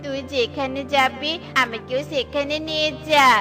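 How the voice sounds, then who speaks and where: A woman's voice: high voice, steady tone, moderate pace, close to an everyday voice. A woman voicing a sulky little boy bird in a children's cartoon, with a plaintive manner. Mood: sulky, pleading. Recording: music behind the voice.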